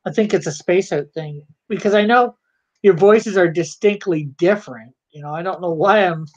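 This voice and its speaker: A man's voice: sits high, moderate pace, a little mellow. A man from Canada hosting a casual online chat, rambling with plenty of fillers and laughs. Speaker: podcast host. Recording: dull lo-fi online call audio.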